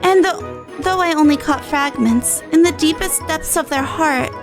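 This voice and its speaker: A woman voicing a gentle, earnest little girl in a fantasy game, who sounds wise beyond her years. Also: sits high, unhurried, over music, voice pushed up. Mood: earnest, relieved. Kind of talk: reading game dialogue in character.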